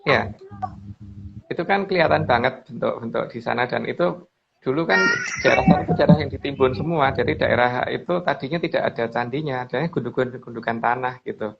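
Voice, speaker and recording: Male presenter, mid pitch, gravelly, rambling explainer; muffled low-fi recording. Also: brisk, slight background noise. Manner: rambling, with restarts and addressing the reader as Mbak. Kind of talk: casual spoken commentary on slides. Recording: dull lo-fi recording.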